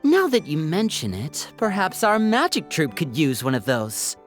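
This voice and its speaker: An actress playing a reserved young magician's assistant in a video game, deadpan, measured and polite. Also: female voice, low voice, faint music behind. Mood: calm, thoughtful.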